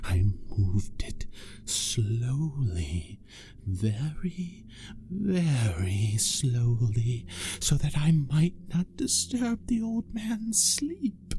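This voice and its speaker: A performer voicing a nervous, insistent madman murderer in gothic horror storytelling, halting and theatrical, often dropping into breathy whispers. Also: mid-range voice, big pitch swings, mellow, a little hiss or hum, heavily acted. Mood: hushed, cunning.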